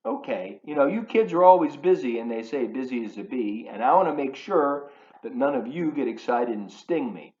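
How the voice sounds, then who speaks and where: Male children's storyteller, playful and animated in intonation, addressing kids directly. Roomy, slightly dull home-video sound. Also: medium pitch, normal speed, few pauses. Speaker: volunteer children's book reader. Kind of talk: read-aloud of a picture book for young children, with asides. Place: living room at home.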